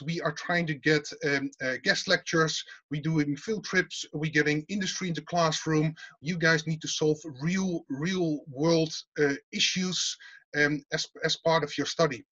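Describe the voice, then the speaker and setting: A man's voice: a little high, a bit bright. A middle-aged man from the Netherlands with a Dutch accent, a university teaching fellow answering interview questions in an informal way, often repeating words and restarting. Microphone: video call. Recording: dull lo-fi video call audio.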